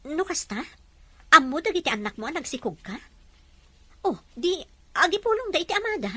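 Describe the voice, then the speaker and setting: A woman's voice: very high-pitched, very animated, brisk, a bit bright, no echo, full character voice. An actress voicing a commanding woman who interrogates her maid in a probing tone. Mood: interrogating. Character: sharp-tongued mistress questioning a maid.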